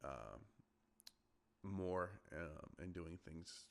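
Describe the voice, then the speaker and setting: Deep-voiced man rambling on a podcast. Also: lively intonation, very slow, gravelly, fairly bright tone. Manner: rambling, many fillers and restarts. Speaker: podcast host. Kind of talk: casual solo podcast chat.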